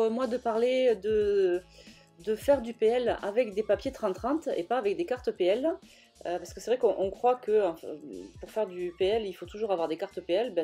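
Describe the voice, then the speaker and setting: Brief: young woman, warm low-key tone, hesitant chatty voice-over, soft music under. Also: slightly rough. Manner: hesitant, many fillers and restarts. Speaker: scrapbooking vlogger. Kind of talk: casual voice-over narration.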